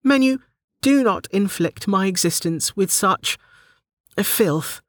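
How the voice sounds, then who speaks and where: Narrator doing chatty, high-voiced young female dungeon spirit. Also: a little slow, a bit bright, no room sound, studio quality. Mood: indignant. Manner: casual, hesitant, mock-stern.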